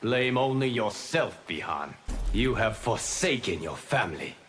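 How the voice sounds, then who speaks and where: An actor playing a wise, calm protector god in a video game, speaking in a solemn, measured, formal way. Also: male voice, fairly low pitch. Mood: stern, reproachful. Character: wise, calm male god protecting Earth, stern but kind. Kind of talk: video game dramatic dialogue. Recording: noisy game capture with clicks.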